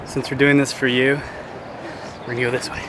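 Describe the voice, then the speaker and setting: Brief: young male hiker with wry humor, average pitch, noisy camera sound. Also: little pitch movement, a little fast. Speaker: co-host. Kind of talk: casual hiking vlog chat. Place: outdoors on a steep mountain trail. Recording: noisy outdoor camera audio.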